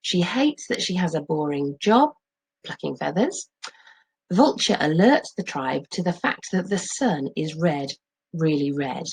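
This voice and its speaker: Lady teacher, middle aged, reading a lesson out loud, bit low n husky, really up and down. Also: expressive, unhurried, low-quality recording. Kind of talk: explaining lesson and expressive story reading.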